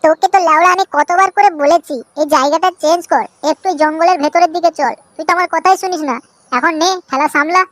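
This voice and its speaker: A man voicing a cheeky, scheming boy in a comedy cartoon, with a pitch-raised voice. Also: fast, noisy background. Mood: scolding. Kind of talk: amateur comedy cartoon dubbing.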